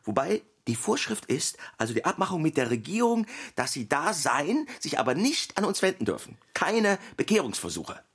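An actor playing a provocative, opinionated Orthodox husband in a radio play, talkative and needling in a conversational way. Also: male voice, on the high side, huge pitch swings, moderate pace, fairly bright tone, slightly rough, exaggerated voice. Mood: grumbling, amused.

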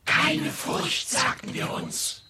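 A man's voice: takes it slowly, pushed and bright. An actor playing a defiant citizen of plague-time London, chanting his testimony in a 1960s German radio play. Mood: anxious denial. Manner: declamatory, chanting. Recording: echoey, distant from the mic.

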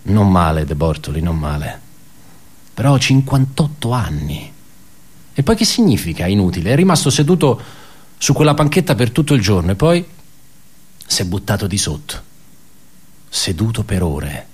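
Radio drama monologue: world-weary, ironic office worker, low-pitched, conversational and self-correcting. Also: male voice, very animated, moderate pace, long pauses, a little mellow, husky. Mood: sardonic, musing. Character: wry, bitter male office worker recounting colleagues' suicides. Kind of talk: theatrical radio monologue, reflective storytelling.

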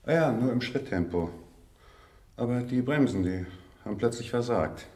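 An actor playing a polite, chatty police sergeant in a radio crime play, matter-of-fact and folksy. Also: male voice, mid-range voice, expressive, moderate pace, dark tone, roomy sound, far from the mic, close to an everyday voice. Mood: polite, unhurried. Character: unsuspecting, chatty male village-call police sergeant.

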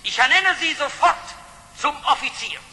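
Excitable, very high-pitched male commander. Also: normal speed, long reverb tail, exaggerated voice, rough recording. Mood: amazed, elated. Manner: astonished, exclamatory. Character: excitable military commander in English service. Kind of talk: theatrical audio play acting.

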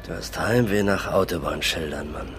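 An actor playing a laid-back, scheming young Brooklyn hustler in a radio drama, slangy and persuasive. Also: male voice, low-pitched, normal speed, a bit bright, slight background noise. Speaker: supporting character in a radio crime drama. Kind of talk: German radio drama dialogue.

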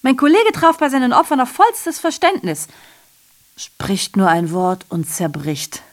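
A woman's voice: high-pitched, measured pace. An actress playing a bitterly ironic, evasive woman messenger reporting horrors in a theatrical, sardonic radio drama monologue.

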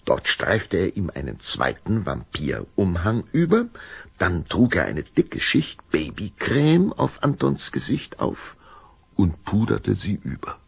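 Male narrator, husky and creaky, deliberate dramatic storytelling, scripted children's radio play, close dry studio sound. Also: low voice, huge pitch swings, dry recording.

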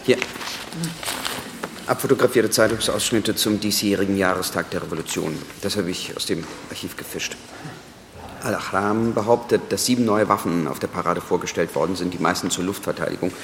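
A businesslike secret agent in a radio play. His low voice rings in a reverberant room, with clear ambient noise behind it. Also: a little fast. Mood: businesslike, brisk. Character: businesslike male journalist and intelligence agent handler. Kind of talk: radio drama acting.

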